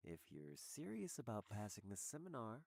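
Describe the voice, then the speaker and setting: A woman's voice: rumbling low, huge pitch swings, normal speed, vocal fry, a little mellow, voice pushed down, exaggerated voice. A woman voicing a confident, teasing young male university student in a visual novel, laid-back and smirking.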